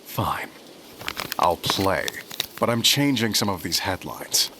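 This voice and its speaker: An actor playing a wary, impatient propagandist in an audio drama, terse, skeptical and hushed. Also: male voice, low voice, animated, slow, slight background noise, heavily acted. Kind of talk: scripted audio drama dialogue.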